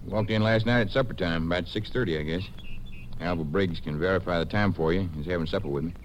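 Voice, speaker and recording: An actor with an American Western accent playing a folksy, guarded rancher under suspicion in a 1950s radio western. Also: male voice, low-pitched, fast talker, natural voice. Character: defensive rancher, male murder suspect, quick to bristle. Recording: noisy vintage radio drama recording.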